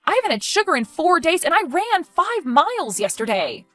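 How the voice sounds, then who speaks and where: A woman's voice: extremely high pitch, very animated, normal speed, a bit bright, no echo, soft background music, full character voice. A woman playing a younger woman who feels accused, defensive and indignant in dramatic dialogue. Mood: pleading, exasperated.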